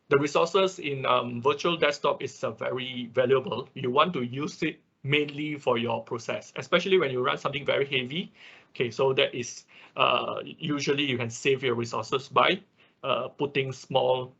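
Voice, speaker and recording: Halting male instructor with raspy, gravelly voice. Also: mid-range voice, a bit bright. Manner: halting, often repeats "okay" and "right" as markers. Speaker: workshop instructor. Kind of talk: step-by-step software tutorial, unscripted. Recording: dull lo-fi online class audio.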